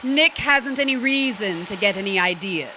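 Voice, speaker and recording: An actress playing a proud, restless young wife in a stage drama, defensive and sharp-tongued. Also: female voice, medium pitch, animated, normal speed, background noise, natural voice. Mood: scornful. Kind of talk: stage drama performed online.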